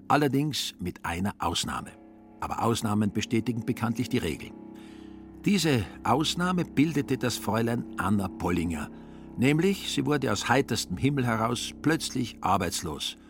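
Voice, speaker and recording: A man with a fairly low voice narrates a radio play. He tells the story in a dry, ironic way, with music clearly playing behind him.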